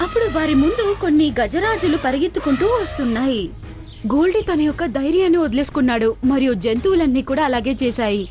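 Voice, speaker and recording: High-pitched, lively woman storyteller. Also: fast, soft background music. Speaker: narrator. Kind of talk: scripted children's story narration.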